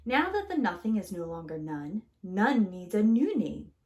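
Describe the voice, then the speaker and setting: A woman reading a children's picture book aloud as a preschool teacher, in an expressive, theatrical way with drawn-out key words. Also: medium pitch, highs cut off.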